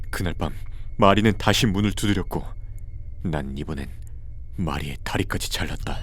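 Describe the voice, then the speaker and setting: A man's voice: low-pitched, a little hiss or hum. A man playing a troubled widowed father in his thirties who narrates a scripted horror story and acts out his lines.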